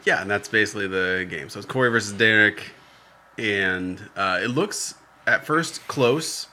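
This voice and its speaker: A man's voice: fairly low pitch, moderate pace, sharp tone. A man co-hosting a podcast, recapping a reality show in loose, joking, profane chat. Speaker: podcast co-host.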